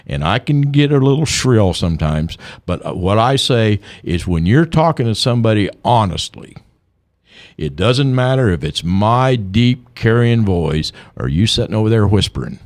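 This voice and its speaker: An older man, a guest on a radio talk show, telling stories in an animated way and repeating words as he gets going. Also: on the low side. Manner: animated storyteller, repeats words when excited. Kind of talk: radio interview.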